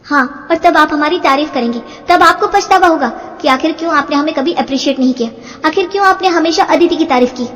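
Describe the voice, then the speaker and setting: A woman's voice: high-pitched, a little fast, hardly any pauses, a bit bright. A woman voicing a feisty young daughter-in-law who complains with wounded pride in a moral-story cartoon. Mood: defiant. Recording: long hall-like reverb.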